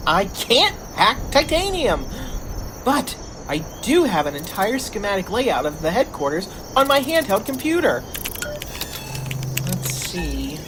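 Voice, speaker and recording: A woman's voice: mid-range voice, sing-song, measured pace, background noise. A woman playing an anxious, sardonic tech officer aboard a spaceship in a sci-fi comedy audio drama. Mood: focused.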